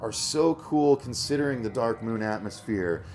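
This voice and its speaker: Male co-host for an informal review show, medium pitch, chatty delivery to the audience, music underneath. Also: expressive, normal speed, darkish tone. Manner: conversational, addresses audience as "you guys". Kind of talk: casual review chat to viewers.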